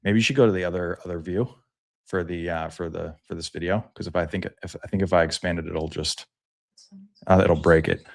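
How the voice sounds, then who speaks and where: Low-voiced man hosting sports talk. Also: fairly even intonation, fairly quick, vocal fry. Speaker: sports talk show host. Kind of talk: casual live sports commentary to an audience.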